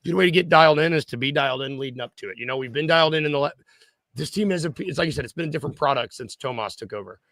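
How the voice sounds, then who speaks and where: A man's voice: on the high side, rapid-fire, fairly bright tone, no echo, studio quality. A man co-hosting a casual sports talk show, who stammers through restarts and agrees with enthusiasm. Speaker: podcast co-host.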